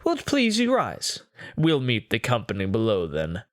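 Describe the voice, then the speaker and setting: A man performing a dramatic poetry monologue as a haughty, controlling, sinister Renaissance duke, with a pompous, theatrical, deliberate delivery. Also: medium pitch, wildly swooping pitch, dry recording, studio quality. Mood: suavely commanding.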